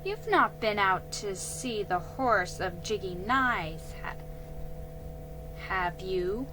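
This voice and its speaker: A woman voicing a stern, proper mother who scolds in a slow, measured way. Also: medium pitch, lively intonation, over music, poor audio.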